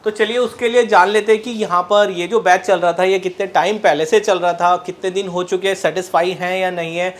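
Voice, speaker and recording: A man's voice: high voice, fairly even intonation, fairly quick, few pauses. A man interviewing students in a repair training class, talking casually and repeating himself. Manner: chatty, repeats "so guys, as you can see".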